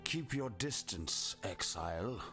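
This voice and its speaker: A man's voice: low-pitched, animated, slow pace, gravelly, heavily acted. An actor playing a bitter, disfigured outcast in a video game, sardonic and florid in his wording. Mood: bitter, wary. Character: bitter, disfigured male outcast with reluctant soft spot. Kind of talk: scripted game character dialogue. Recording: music behind the voice.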